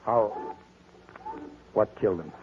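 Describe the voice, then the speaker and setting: An actor playing an anxious, desperate client under suspicion in 1940s radio drama style. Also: male voice, on the low side, slow, a little hiss or hum. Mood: uneasy. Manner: anxious, faltering. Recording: thin, tinny old radio recording.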